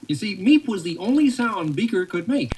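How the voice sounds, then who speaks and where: A woman's voice: medium pitch, big pitch swings, moderate pace, a little mellow. Woman reading a storybook aloud, noisy recording. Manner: chatty and playful, talking to someone turning the pages. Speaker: storybook reader. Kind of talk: read-along story narration with casual asides.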